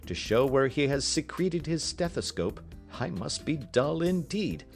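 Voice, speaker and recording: A man voicing a brisk, self-assured gentleman detective in an audiobook, precise, emphatic and teasing. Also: on the high side, big pitch swings, creaky, soft background music, pitched above the performer's own voice, heavily acted. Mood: brisk, confident. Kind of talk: audiobook character reading.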